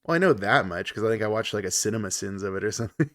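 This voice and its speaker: Congested joking podcast co-host. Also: male voice, on the low side, expressive, racing, no room sound, studio quality. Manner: joking, laughs often. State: congested from a cold. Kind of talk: casual chat.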